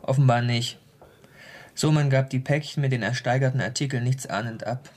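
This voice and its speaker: A plain-spoken detective, played by a man, gives a factual report in a medium-pitched voice. He stays unruffled, with the natural delivery of a radio crime play. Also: fairly even intonation, normal speed, natural voice. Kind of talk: naturalistic German radio crime drama dialogue.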